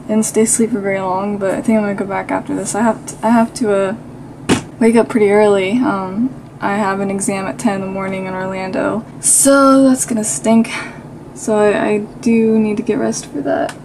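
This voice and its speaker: A woman's voice: medium pitch, fairly even intonation, normal speed. A tired woman chatting casually to her vlog viewers. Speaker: vlogger. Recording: clear car and street noise.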